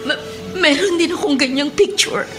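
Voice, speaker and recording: A woman's voice: high-pitched, normal speed, over music, lightly acted. A woman playing a remorseful middle-aged Filipino mother in a melodramatic radio drama, hesitant and stammering with emotion. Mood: tearful, remorseful. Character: remorseful middle-aged mother who long ago left her son.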